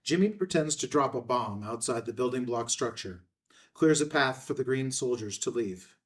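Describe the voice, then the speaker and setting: Male narrator for a script reading, medium pitch, a little away from the mic. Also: lively intonation, moderate pace, distant mic. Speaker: stage-direction narrator. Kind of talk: scripted narration, screenplay table read.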